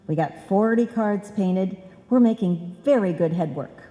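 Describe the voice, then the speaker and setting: An actress playing a scatterbrained, bossy wife who earnestly mangles sayings in an old-time radio comedy. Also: female voice, medium pitch, a little slow, dark tone, lo-fi sound, close to an everyday voice. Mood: earnest, confident. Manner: earnest malapropisms, chiding. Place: on stage in a live performance. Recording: live hall recording, long reverb.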